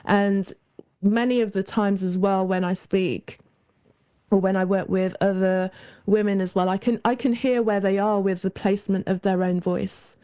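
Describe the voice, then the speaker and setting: A woman whose voice sounds youthful, with a mellow, warm tone and a smooth, resonant finish. She talks reflectively, letting her thoughts run on in long, unhurried stretches. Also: medium pitch, fairly even intonation. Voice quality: young-sounding voice. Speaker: guest, mentor to creative women. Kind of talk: casual conversational interview.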